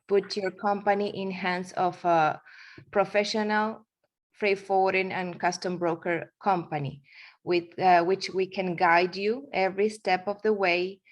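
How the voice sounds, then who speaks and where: A woman in her forties from Argentina, speaking English with an Argentine accent, giving a presentation with frequent fillers and restarts. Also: medium pitch. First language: Spanish. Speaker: guest speaker. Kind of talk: webinar presentation.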